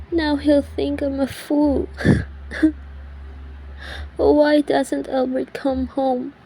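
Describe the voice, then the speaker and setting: A woman's voice: high voice, a little mellow, exaggerated voice, rough recording. A woman playing a nervous, demanding bedridden invalid in a melodramatic radio thriller, fretful and haughty in her indignation.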